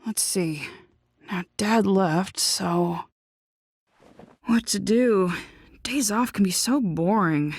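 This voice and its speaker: A woman's voice: medium pitch, slow pace, pauses often. A woman voicing an upbeat, boyish young son in an English anime game dub. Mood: casual.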